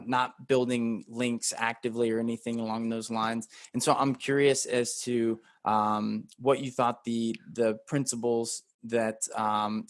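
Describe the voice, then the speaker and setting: A man's voice: mid-range voice, no echo. A man hosting a podcast, asking long, winding questions full of fillers like "um" and "you know.". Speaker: podcast host. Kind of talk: interview questions.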